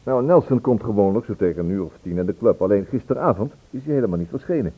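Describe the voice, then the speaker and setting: A man acting in a Dutch radio play as a brisk, blunt police inspector with a conversational delivery. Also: lowish voice, expressive, husky. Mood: matter-of-fact. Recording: thin narrow-band old radio recording.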